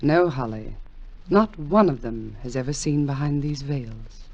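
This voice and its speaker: A woman's voice: a bass voice, lively intonation, unhurried, darkish tone, heavily acted. An actress playing an ageless immortal queen, commanding and lovesick, with solemn, formal speech in the style of 1940s radio drama. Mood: imperious, solemn. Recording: dull, muffled 1940s radio recording.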